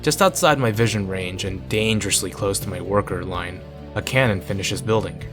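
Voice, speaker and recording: Low-voiced man reading a game story. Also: moderate pace, over music. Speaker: video creator reading a visual novel aloud. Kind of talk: narrating a visual novel with casual asides.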